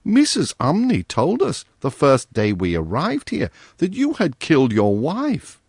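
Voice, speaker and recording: A man's voice: fairly high pitch, huge pitch swings, a little slow, a little mellow, husky, no room sound, studio quality, voice pushed up, exaggerated voice. Prim, earnest young girl, as a man puts her on. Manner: scolding, primly earnest. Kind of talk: storybook reading.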